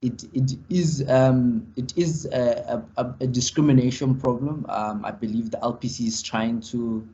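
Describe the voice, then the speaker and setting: A man on a legal panel, answering in a halting way full of ums and restarts over a video call. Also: medium pitch, steady tone, mellow. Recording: muffled video call audio.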